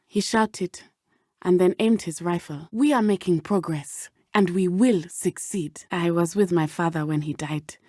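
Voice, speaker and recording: A woman with a southern African accent playing an earnest, brave teenage witch in a video game, speaking in measured, formal, precise diction. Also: on the low side, darkish tone, no room sound, clean studio sound. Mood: determined. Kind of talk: video game character dialogue.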